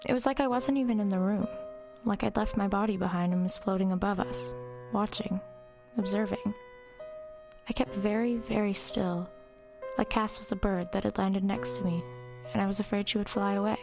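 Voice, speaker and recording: A woman's voice: medium pitch, steady tone, normal speed, gravelly, lightly acted. A woman playing a brooding, eerily detached teenage girl who narrates her own story in a radio horror drama. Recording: music under the voice.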